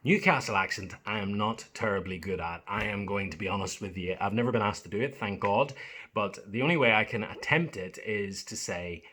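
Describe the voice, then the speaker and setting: A man's voice: lowish voice, a little fast, sharp tone. A young Irish man, a voice actor, chatting playfully and a little self-deprecatingly to camera. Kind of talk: casual chat demonstrating accents.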